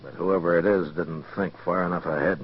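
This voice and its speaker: An actor playing a steady, determined, dry and plain-spoken marshal in a 1950s radio western. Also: male voice, low voice, a little fast, husky. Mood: steady, explaining. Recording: dull lo-fi 1950s radio recording.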